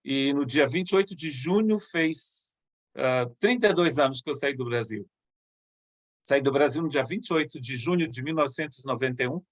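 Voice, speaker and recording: Middle-aged missionary pastor, dry close sound. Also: male voice, medium pitch, lively intonation, no room sound. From Brazil, Rio de Janeiro state. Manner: conversational, halting with restarts.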